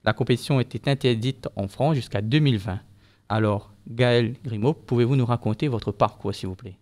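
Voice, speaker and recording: A man's voice: mid-range voice, normal speed, a little mellow. A man hosting an interview, introducing his guest and asking formal, polite questions. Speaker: interview host.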